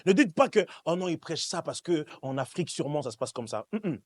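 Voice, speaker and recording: High-pitched male preacher, close dry sound. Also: animated, fairly quick, a little mellow, husky, no echo. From Switzerland. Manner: emphatic, repetitive, addresses congregation directly. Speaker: pastor, preacher. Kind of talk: sermon with call and response. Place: church service, speaking to congregation.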